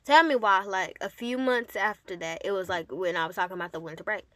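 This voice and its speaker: A woman telling a personal story casually to her audience, with an animated, emphatic and sassy manner. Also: mid-range voice, a little fast, dry recording. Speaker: storyteller and host addressing an audience.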